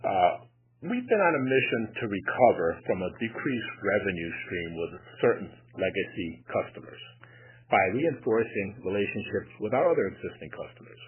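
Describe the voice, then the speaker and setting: A male company executive giving formal, measured prepared remarks on an earnings call. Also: on the low side, sing-song. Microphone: telephone conference call. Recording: noisy, tinny phone conference line.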